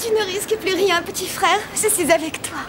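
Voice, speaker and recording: A woman voicing a gentle, earnest young princess in an animated fairy tale, wide-eyed and expressive. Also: very high-pitched, moderate pace, background noise. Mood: tender, reassuring.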